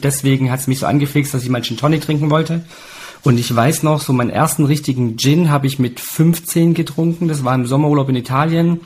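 A man's voice: medium pitch, a little mellow, slightly rough. A man in his late thirties telling anecdotes in a chatty, colloquial, casual way. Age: late 30s.

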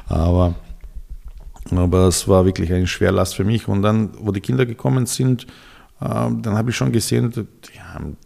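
A man's voice: fairly low pitch, steady tone, moderate pace, gravelly, mellow. A middle-aged man with a Serbian accent, Serbian his first language, telling his story in a casual interview with many hesitations and restarts.

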